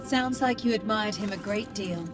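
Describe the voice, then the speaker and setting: Woman playing a polite ai helper lady, formal, calls him sir, clear game music under her. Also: mid-range voice, normal speed, natural voice. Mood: dry. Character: calm polite female AI assistant. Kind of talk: video game dialogue.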